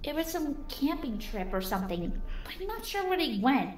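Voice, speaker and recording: High, echoey young female pony played by a woman. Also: very animated, normal speed, faint music behind. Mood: hesitant, recalling. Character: young female pony recalling vague memories. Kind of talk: fan fiction audio reading. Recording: roomy, distant, faint noise.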